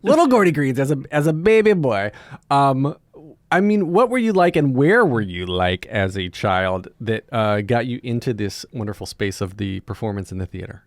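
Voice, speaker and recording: Male podcast host, playful and casual, very animated pitch, dry studio-close sound. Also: moderate pace, no room sound. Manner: playful, teasing. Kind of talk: podcast introduction and casual interview chat.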